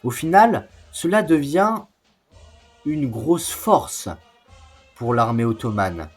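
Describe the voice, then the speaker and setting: A man's voice: mid-range voice, very animated, takes it slowly. A man narrating an educational history video in an explanatory way, with informal asides. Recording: music behind the voice. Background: music.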